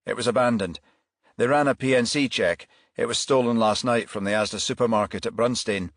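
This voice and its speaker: A man's voice: medium pitch, brisk, no room sound, studio quality, close to an everyday voice. Man playing a terse senior detective boss. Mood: matter-of-fact. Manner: terse, businesslike. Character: senior male detective boss, steady and decisive. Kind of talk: audiobook character dialogue.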